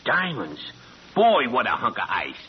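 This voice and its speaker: A man's voice: medium pitch, wildly swooping pitch, unhurried, a crowd in the background. A man acting as an awestruck small-time gangster in a vintage radio drama, gawking in slang. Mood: amazed. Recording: muffled old radio broadcast, faint noise.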